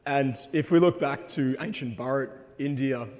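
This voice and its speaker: A man giving a conference talk with slides, slightly hoarse and hesitant, with frequent ums. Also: medium pitch, normal speed, a little mellow. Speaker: conference speaker. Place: conference hall. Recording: long hall reverb.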